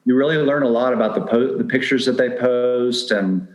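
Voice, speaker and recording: A man's voice: mid-range voice, normal speed. A middle-aged man speaking as an interview guest, explaining things in a casual, conversational way. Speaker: podcast guest, dating advice blogger. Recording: roomy, echoing sound.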